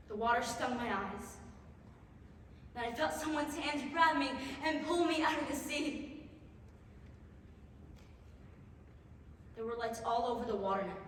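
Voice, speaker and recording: Distraught young refugee survivor played by a woman, high-voiced and halting, stage monologue in a big echoing hall. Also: pushed and bright. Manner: halting, emotive, with heavy pauses and repetitions. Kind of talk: dramatic theatrical monologue. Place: large reverberant hall or stage. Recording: very echoey hall, distant mic, noisy.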